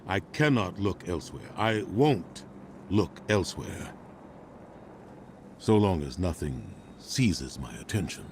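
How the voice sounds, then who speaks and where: Deep, deliberate military commander. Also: male voice, lively intonation, a little hiss or hum. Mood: solemn, firm. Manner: deliberate, authoritative, solemn. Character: grave, dutiful older male military commander. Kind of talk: dramatic video game voice acting.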